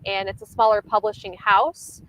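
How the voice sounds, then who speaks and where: A woman's voice: medium pitch, little pitch movement, normal speed, fairly bright tone, poor audio. Rambling casual woman over background noise. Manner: hesitant, rambling, peppered with "like". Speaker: co-host of a reading livestream. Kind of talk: casual book chat.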